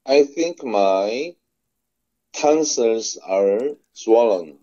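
Brief: lower-voiced Korean-accented man, careful repetition, dull muffled phone line. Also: expressive, warm tone. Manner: slow, careful repetition with small slips. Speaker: phone English practice partner. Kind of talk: repeating phrasebook sentences aloud. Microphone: phone call.

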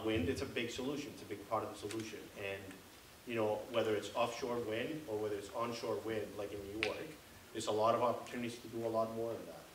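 An older man in his sixties on a panel, answering an audience question in a chatty way with everyday examples. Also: on the low side. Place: large library room. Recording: echoey room from far off with hiss.

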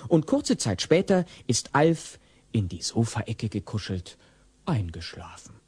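A man narrating a scripted audio play as an expressive storyteller. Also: medium pitch, takes it slowly, slightly rough. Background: loud music.

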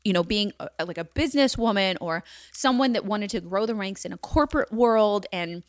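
A young woman hosting a podcast, chatting casually in a cozy living room. She is bubbly and animated and laughs often as she tells stories. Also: medium pitch, normal speed, no room sound, studio quality. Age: young adult. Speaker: podcast host. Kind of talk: casual chat. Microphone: boom-mounted studio mic.

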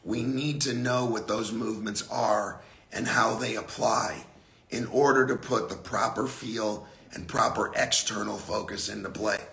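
A middle-aged man with a gravelly, raspy voice, a bit hoarse from a cold, giving a casual, blunt golf lesson on a livestream from an indoor simulator room. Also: on the low side, bright, forceful tone, roomy sound. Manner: blunt, casual, drawn-out emphasis. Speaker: golf instructor.

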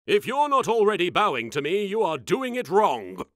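A man's voice: high voice, sing-song, moderate pace, no echo, studio quality. A man voicing an arrogant, theatrical young anime duelist in a comedy parody, with haughty, over-enunciated delivery. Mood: haughty, smug. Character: arrogant, pompous young male anime duelist in a recording booth. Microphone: close studio microphone.

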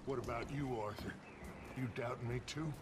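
An actor playing a charismatic, middle-aged American outlaw gang leader with a persuasive, oratorical manner in a video game. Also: male voice, mid-range voice, normal speed, noisy background, natural voice. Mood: reassuring, persuasive. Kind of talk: dramatic video game acting.

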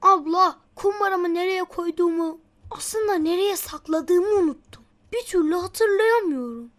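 A woman's voice: very high-pitched, normal speed. A woman voicing an earnest, anxious little schoolboy in a children's cartoon. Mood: worried.

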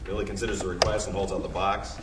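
A man reading stage directions aloud at a table read, relaxed and chuckling between lines. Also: low-pitched, fast. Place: large room, seated at a long table. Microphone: far camera. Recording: echoey room, far from mic, loud hiss.

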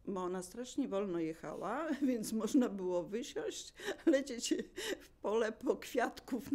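A woman's voice: mid-range voice, a little mellow. An older woman from Poland, a local resident casually sharing her memories. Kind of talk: casual reminiscing.

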